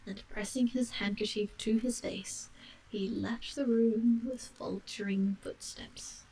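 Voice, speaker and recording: A woman tells a bedtime story in a soft, whispery ASMR style. Her slow voice is very melodic and creaky, heard slightly away from the mic. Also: mid-range voice, wildly swooping pitch, recorded from a distance, poor audio. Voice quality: soft, breathy, dropping into whispery tones. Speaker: storyteller, live-stream reader.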